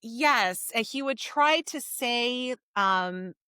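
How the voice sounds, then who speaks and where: A woman retells a story as a podcast co-host. Her voice is bright and forward, recorded dry. She is informal and chatty, leaning on key words as she goes. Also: on the high side, animated, very slow, smooth, no echo. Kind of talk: casual book discussion recapping a story.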